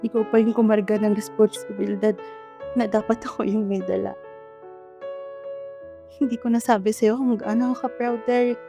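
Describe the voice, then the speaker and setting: Radio drama mother, played by a woman: Filipino, higher-pitched, heartfelt and pleading apology to her grown son, piano music underneath. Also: steady tone, moderate pace, vocal fry, long pauses. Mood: remorseful, tender. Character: remorseful, loving widowed mother apologizing to her eldest son. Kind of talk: emotional radio drama acting.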